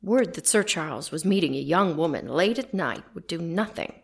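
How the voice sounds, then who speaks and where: A woman playing a loyal, guarded servant in an audio drama, speaking gravely and respectfully. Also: on the low side, lively intonation, normal speed.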